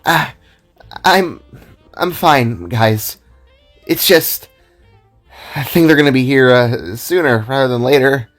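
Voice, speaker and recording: Man voicing a stammering, anxious pregnant woman, higher-pitched. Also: a bit bright, soft background music. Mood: pained, uneasy. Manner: stammering, halting, nervous. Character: pregnant woman guitarist, anxious, straining through pain.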